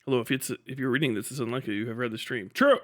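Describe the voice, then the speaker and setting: A man's voice: mid-range voice, very fast, a bit bright. A man hosting a casual livestream, talking in a sweary, dismissive, sardonic way. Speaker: livestream host.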